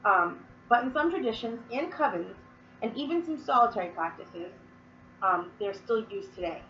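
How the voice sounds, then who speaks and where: A woman's voice: fairly high pitch, sing-song, normal speed, fairly bright tone, distant mic, dull audio, slight background noise. Chatty sick woman in an echoey room. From Massachusetts. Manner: chatty, rambling with many fillers. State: sick, sniffling and coughing. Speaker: Wiccan teacher. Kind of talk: casual explanatory talk to camera.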